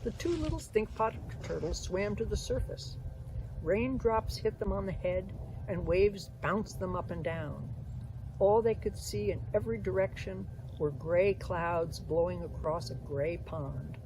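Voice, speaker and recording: An older woman reading a children's story aloud outdoors, with deliberate, expressive delivery and asides. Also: on the low side. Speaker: children's book author and storyteller. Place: outdoors on a sandy pond beach. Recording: outdoor ambient noise, somewhat distant.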